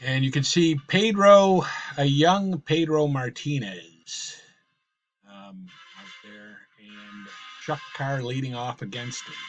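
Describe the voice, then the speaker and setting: A man calling a simulated baseball game in casual play-by-play, his voice slightly raspy from a cold, rambling and correcting himself as he goes. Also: mid-range voice, low-quality recording. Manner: rambling, with fillers and self-corrections. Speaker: host playing a baseball sim.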